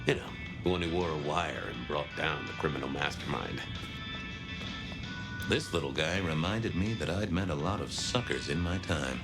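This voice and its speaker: A world-weary old vampire detective in a noir game. The voice is low and dryly sardonic, musing over loud music. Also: male voice, moderate pace. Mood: wry, nostalgic. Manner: dry, wry, noir musing. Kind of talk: noir video game narration.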